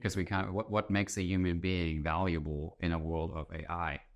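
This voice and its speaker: Low-voiced man explaining ideas. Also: level tone, normal speed, dull audio. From Germany. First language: German. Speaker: interview guest, author and entrepreneur. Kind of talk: conversational explanation.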